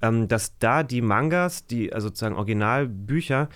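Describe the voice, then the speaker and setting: A man's voice: medium pitch, expressive, fairly quick, a bit bright, clear, resonant tone. A man in a casual discussion as a podcast guest, thinking aloud in informal, youthful language.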